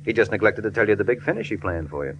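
An actor playing a cool, sardonic hard-boiled American detective in 1940s radio style. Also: male voice, fairly low pitch, animated, very fast, fairly bright tone. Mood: wry. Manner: sardonic, laconic, teasing. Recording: noisy old radio drama recording.

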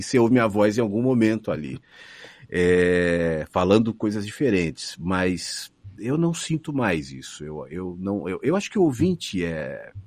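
A man's voice: low-pitched, darkish tone, dry recording. A middle-aged radio announcer with a São Paulo accent, thoughtfully answering interview questions and often restarting his phrases. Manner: thoughtful, restarts phrases, rises and falls expressively.

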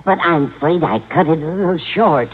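An actress playing a fluttery, well-meaning older landlady with a husky voice and motherly fussing, in vintage radio sitcom style. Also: sits low, animated, fairly quick. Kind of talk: 1950s radio sitcom acting. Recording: tinny old radio with audience.

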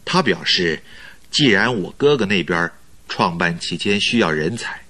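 An actor playing a glib, jovial recruiter in a radio drama, speaking in a hearty, polite and reassuring manner. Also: male voice, low voice, animated, measured pace, sharp tone, full character voice. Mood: smooth, persuasive. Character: glib, jovial male recruiter, smoothly persuasive schemer.

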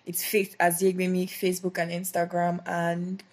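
Playful woman, low for her sex. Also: monotone, normal speed. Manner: playful, brief agreeing replies. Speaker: co-host. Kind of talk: casual podcast chat.

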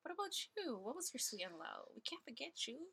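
20s girl on a podcast, super bright voice, bit of vocal fry, slangy playful chat. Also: high voice, big pitch swings, fairly quick. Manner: playful, slangy girlfriend banter. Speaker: podcast co-host.

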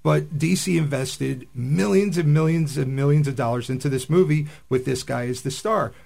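A man's voice: fairly high pitch, normal speed, creaky, darkish tone. A man giving casual commentary as a panelist on a radio discussion. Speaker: science fiction podcast panelist.

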